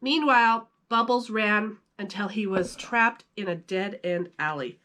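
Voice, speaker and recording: A woman storyteller reading a children's storybook aloud in an animated style. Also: mid-range voice, measured pace.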